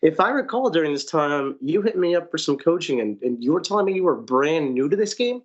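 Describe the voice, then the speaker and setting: A man hosting a podcast, talking in an excited, effusive way and repeating phrases for emphasis. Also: fairly high pitch, brisk, dull audio. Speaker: podcast host. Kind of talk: enthusiastic podcast chat.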